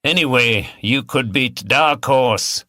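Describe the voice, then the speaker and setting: A man's voice: medium pitch, a little slow, bright, forceful tone, no room sound, clean studio sound, pitched above the performer's own voice. A man voicing a blunt, grumbling working man, bantering as a pub regular in an audiobook.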